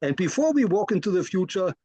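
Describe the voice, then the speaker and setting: A man's voice: high-pitched, big pitch swings, fast, mellow, slightly rough, no echo. A man hosting an interview, asking questions in a polite, friendly way. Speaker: interview host.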